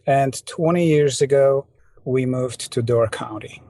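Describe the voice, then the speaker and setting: A man's voice: mid-range voice, unhurried, mellow, no echo. A man in his sixties from Poland, speaking English with a Polish accent, answering interview questions modestly, with small hesitations. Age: 60s. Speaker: textile artist guest. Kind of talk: conversational interview.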